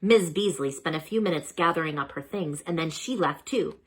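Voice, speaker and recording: A woman reading a children's storybook aloud as a storyteller, dramatic and expressive, with chatty asides to the listeners. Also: low voice, fairly quick, breathy edge.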